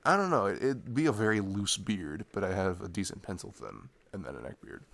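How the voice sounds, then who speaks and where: A man's voice: on the low side, no echo. A young man playing a sweet, playful boyfriend in an ASMR roleplay, chatting tenderly and casually in a rambling, teasing way. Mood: teasing, amused. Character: sweet, playful, affectionate boyfriend cuddling his partner. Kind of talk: ASMR boyfriend roleplay, improvised intimate chat.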